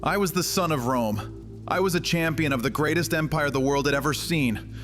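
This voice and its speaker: An actor playing a bitter, mocking, thousand-year-old vampire exile with a theatrical, sardonic delivery in an audio drama. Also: male voice, fairly high pitch, moderate pace, a bit bright, soft background music, close to an everyday voice. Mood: bitter, aggrieved. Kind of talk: dramatic audio fiction acting.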